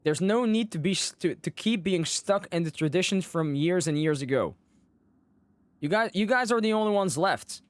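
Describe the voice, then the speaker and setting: A man's voice: high-pitched, lively intonation, moderate pace, no echo. A man live streaming a video game, chatting casually with viewers and commenting on the story. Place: close dry mic, game music behind.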